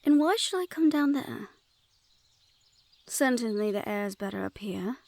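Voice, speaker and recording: Casting: woman to play haughty female Fae sentry for fantasy audio roleplay, higher-pitched voice, playful, sardonic, guarded. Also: animated, a little slow, creaky, a little mellow, no echo. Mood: wry, aloof. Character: teasing, wary Fae woman guarding her forest clan's border.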